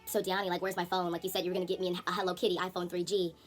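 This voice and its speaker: Brief: young woman voicing a peeved little schoolgirl, whiny, accusing, a touch quiet. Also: fairly low pitch, little pitch movement, very fast, soft background music, natural voice. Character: annoyed third-grade girl classmate.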